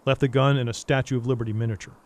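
An actor playing a grim, stern vigilante detective in a noir radio drama, terse and brooding. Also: male voice, medium pitch, steady tone, racing, darkish tone, natural voice.

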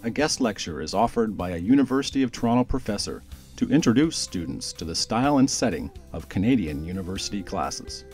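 A man reading a scripted promotional voice-over in a smooth, even delivery. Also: fairly low pitch, fairly quick, faint music behind. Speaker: promo narrator.

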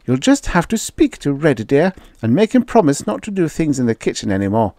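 A flustered, fussy housewife rattles on in a raised, fluttering voice, as a male reader puts her on. She is hurried and fretful, and her pitch climbs and dips all over. The sound is close and dry. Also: sing-song, warm tone, no room sound. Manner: hurried, fretful, breathless chatter. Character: fussy anxious housewife, grown woman. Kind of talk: audiobook character voice.

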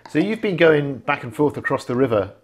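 A man conducts an oral history interview and keeps his prompts short and gentle. He asks questions and reacts briefly, and he sounds a little off-mic. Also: mid-range voice, very animated, fairly quick, crowd noise.

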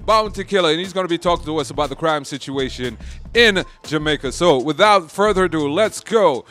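Sing-song New TV host. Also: male voice, fairly high pitch, brisk, a bit bright, soft background music. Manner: playful, sing-song delivery. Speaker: TV show host. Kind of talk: energetic TV host chat. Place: bookstore cafe indoors. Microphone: handheld mic.

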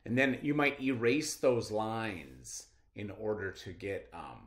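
A middle-aged man reading aloud and explaining simply to children as a schoolteacher, with deliberate enunciation. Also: fairly low pitch, fairly bright tone. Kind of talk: children's read-aloud lesson.

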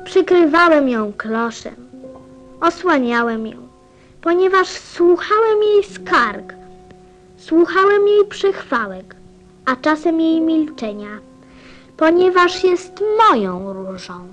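A woman playing an innocent, earnest little boy prince in an audio play, gentle and thoughtful. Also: sits high, sing-song, slow, faint music behind, heavily acted, poor audio.

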